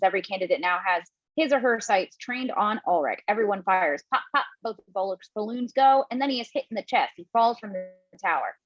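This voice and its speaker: A woman's voice: medium pitch, a bit bright, dry recording, highs cut off. A woman reading scripted stage directions as narrator at a table read.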